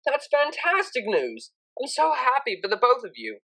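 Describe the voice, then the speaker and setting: A woman voicing an energetic, kindly male pony tutor with a cheerful, awkward, rambling manner in an audiobook. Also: high voice, normal speed, pitched above the performer's own voice, heavily acted, low-quality recording. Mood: warm, pleased.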